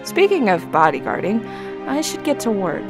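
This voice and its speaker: A woman's voice: on the high side, sing-song, normal speed. Woman acting playful huntress over music. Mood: teasing, playful. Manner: teasing, playful. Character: playful young female huntress and bodyguard, teasing, wistful. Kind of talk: animated fan series voice acting. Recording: background music under the voice.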